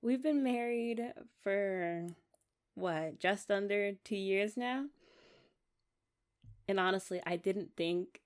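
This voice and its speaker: A young woman playing a loving, sentimental young wife in a romantic ASMR roleplay, talking tenderly and haltingly as if to her partner. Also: fairly low pitch. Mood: tender, playful. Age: young adult.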